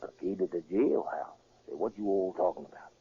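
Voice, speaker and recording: An actor playing a naive, curious and deferential prisoner, stammering and folksy, in a 1930s radio drama. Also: male voice, low voice, a little fast, slightly rough, lo-fi sound, heavily acted. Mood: eager, baffled. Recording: thin, band-limited 1930s radio recording.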